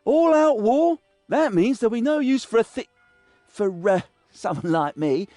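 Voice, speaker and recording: A man's voice: very high voice, lively intonation, a little slow, darkish tone, no room sound, clean studio sound, soft background music. A man playing a cowardly, reluctant thief in a fantasy game, hesitant and sardonic. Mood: nervous wariness.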